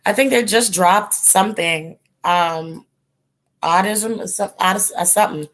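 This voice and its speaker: A woman's voice: mid-range voice, unhurried, fairly bright tone. A woman hosting a casual livestream, rambling with lots of ums and likes while chatting with viewers, her voice giving out. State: losing her voice. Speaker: host of a tarot channel, chatting with live viewers.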